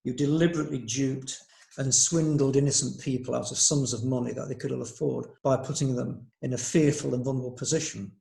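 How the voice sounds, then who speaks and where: A man playing a stern, formal magistrate in a courtroom play, measured and authoritative. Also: medium pitch, fairly even intonation, creaky, mellow, reverberant, natural voice. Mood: grave, disapproving. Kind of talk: courtroom stage play acting.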